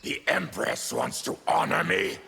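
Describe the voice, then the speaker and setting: A man voicing a feral, diseased monster warrior in a video game, with a gravelly rasp and a grave, menacing, formal manner. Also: on the high side, huge pitch swings, measured pace, bright, forceful tone, exaggerated voice. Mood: grim. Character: feral, diseased monster warrior, a proud brooding former tribune. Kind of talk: video game character acting.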